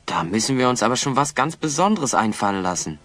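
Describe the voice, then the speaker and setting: A man playing a confident adventurer hero in a German audio drama, with theatrical, deliberate delivery. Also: mid-range voice, very animated, fairly bright tone, soft background music. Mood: resolute.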